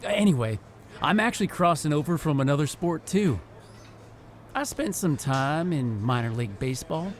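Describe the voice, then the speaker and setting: A man playing an eager, talkative young American rookie wrestler in a video game cutscene, chatty and excitable. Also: on the high side, moderate pace, close to an everyday voice. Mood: excited, chatty.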